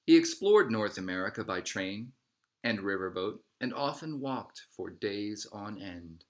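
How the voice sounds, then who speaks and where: Low-voiced man reading a storybook aloud. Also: lively intonation, unhurried. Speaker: storybook reader. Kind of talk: scripted read-aloud narration of a picture book.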